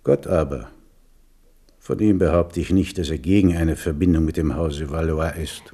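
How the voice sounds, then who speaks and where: An actor playing a stern, pious admiral in a 1970s radio drama, speaking in a measured, solemn way. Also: male voice, rumbling low, mellow, breathy edge, full character voice. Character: stern, pious old admiral and military leader.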